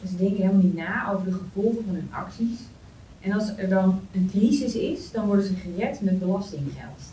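A woman's voice: medium pitch, lively intonation, a little fast, dark tone, lightly acted. A woman playing a chatty, idealistic young woman with an earnest, informal manner at a script table read. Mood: earnest, critical. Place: meeting room. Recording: roomy, distant mic, faint noise.

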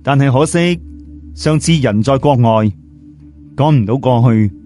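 A man's voice: mid-range voice, measured pace, warm tone, soft background music, natural voice. Man voicing a courteous, composed jade expert. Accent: Cantonese.

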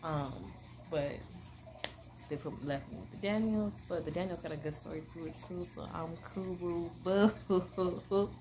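A young woman talks to her camera at home in a low, relaxed register, bubbly and chatty, wandering through her thoughts with plenty of fillers. A steady hiss of background noise sits under the voice. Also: a little slow. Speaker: vlogger. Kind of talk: casual chat to camera. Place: small living room at home.

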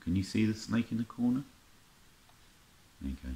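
A man's voice: lowish voice, expressive, normal speed, dark tone. A middle-aged man chatting casually and unscripted to camera as a vlogger, with muttered asides and exclamations as he browses. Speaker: vlogger showing a music haul. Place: indoor room lined with record shelves. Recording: roomy echo, faint noise, clicking handling sounds.

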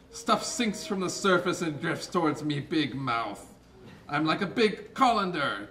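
A man's voice: a little high, bright, forceful tone, full character voice. A man voicing a boastful barnacle in a live puppet show, with grandiose theatrical delivery. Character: boastful barnacle on the deep seafloor. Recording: distant live theatre recording, faint noise.

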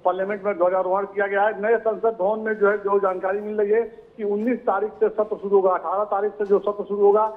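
A male bureau chief reports live from an outdoor event, his voice coming through a thin, phone-like line. He speaks a little high and fairly flat, padding his sentences with fillers and repeated phrases. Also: quick pace, few pauses. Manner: filler-heavy, repeats "jo hai" and "dekhiye". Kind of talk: live news field report. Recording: tinny phone-line audio.

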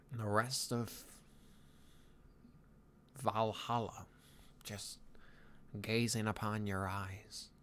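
A man acts out a young Viking dragon trainer, tender and wistful, in an intimate ASMR roleplay. He speaks very slowly and softly in a lowish male voice, with halting phrases. Ambient noise sits behind him. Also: full character voice. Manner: soft-spoken, halting, intimate. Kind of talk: soft-spoken ASMR boyfriend roleplay. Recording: clear background ambient noise.

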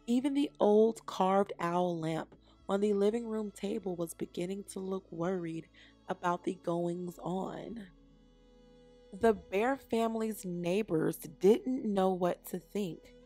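A woman reads a children's storybook at a very slow pace. Her phrasing is deliberate and expressive, with music softly behind her. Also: mid-range voice. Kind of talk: read-aloud scripted narration.

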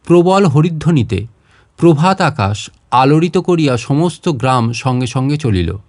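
A man narrating literary fiction in a measured, expressive way, pausing between phrases. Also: medium pitch, dry recording. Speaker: storyteller reading literary fiction. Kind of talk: dramatic literary narration in formal prose.

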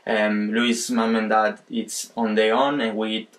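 Young Italian-accented man, flat and hesitant. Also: lowish voice, unhurried. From Sicily, Italy. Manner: hesitant, searching for words, flat delivery. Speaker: guest. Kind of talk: casual chat in a second language. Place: home room indoors.

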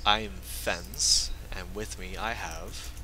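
A man's voice: on the low side, animated, takes it slowly, vocal fry, pushed and bright, a little hiss or hum. A man hosting a joking group reading over a voice call, with profane, exasperated banter. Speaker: main host introducing the reading. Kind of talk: casual joking chat. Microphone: online voice call.

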